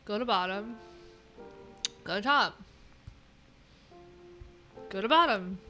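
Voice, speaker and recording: A woman thinking aloud in a casual, unscripted tech livestream, informal and halting, often trailing off mid-thought. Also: mid-range voice, expressive, sharp tone, faint music behind. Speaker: livestream host, web developer.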